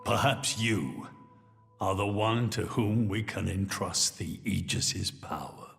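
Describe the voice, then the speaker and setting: An actor playing a grave, remorseful king in a video game cutscene, speaking formally and deliberately. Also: on the low side, gravelly, exaggerated voice. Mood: probing. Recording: loud music under the voice.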